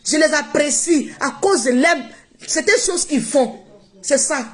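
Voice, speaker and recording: A woman's voice: high-pitched, a little slow. A woman whose first language is not French, speaking emphatically and repeating herself in a casual live-stream monologue on her phone. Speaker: social media live streamer.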